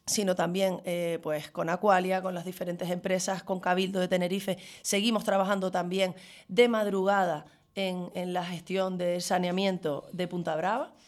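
A woman's voice: on the low side, level tone, normal speed. A woman answering in an interview as a councillor, emphatically listing her points phrase by phrase. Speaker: town councillor.

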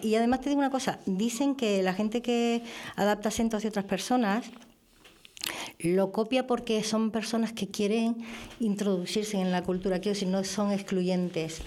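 A woman's voice: medium pitch, steady tone, moderate pace, a little mellow. A woman from the Canary Islands with a mixed Canarian Spanish accent, talking at length and giving her opinions as a radio guest. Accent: Canarian Spanish mixed with peninsular. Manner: talkative, opinionated, explains at length. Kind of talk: casual radio chat.

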